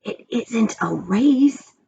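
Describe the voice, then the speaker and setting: An earnest, put-upon schoolboy from a storybook, voiced by a woman reader. The pitch is lifted and lively and the words are emphatic, on a dull recording with background noise. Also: very slow, vocal fry, heavily acted. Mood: exasperated. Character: earnest, exasperated schoolboy trying to behave.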